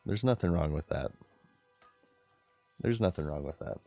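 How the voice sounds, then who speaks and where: Very deep-voiced giggly male streamer. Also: animated, moderate pace, gravelly, mellow, faint music behind. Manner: giggly, laid-back, chatting to viewers while playing. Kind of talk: casual game-streaming commentary.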